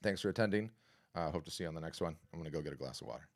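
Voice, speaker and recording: A man hosting a live art business workshop and answering questions, talking in an energetic, conversational, salesy way with a scratchy, hoarse voice. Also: very deep voice, racing. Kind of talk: live webinar talk and Q&A.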